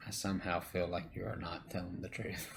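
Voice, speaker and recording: A man's voice: low voice, level tone, fairly quick, creaky, fairly bright tone, a little hiss or hum. A middle-aged man hosting, chatting casually and teasingly with his son while they watch wrestling footage. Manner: teasing, chatty, laughs easily.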